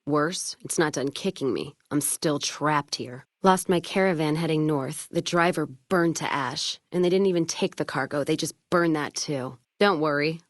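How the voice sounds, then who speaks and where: Hard-bitten female caravan trader, low-pitched, blunt, sardonic, foul-mouthed, video game dialogue, dry studio-close sound. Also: moderate pace, hardly any pauses, dry recording. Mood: bitter, then gruff, sardonic. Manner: gruff, sardonic, blunt frontier drawl of speech, dropped words.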